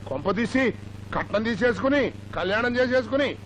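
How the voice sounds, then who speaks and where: Fretful, high-pitched stingy father. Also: lively intonation, normal speed, fairly bright tone, slight background noise. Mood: grumbling. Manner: fretful, scolding, sarcastic. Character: stingy, suspicious, grumbling middle-aged father. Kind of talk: Telugu film comedy acting.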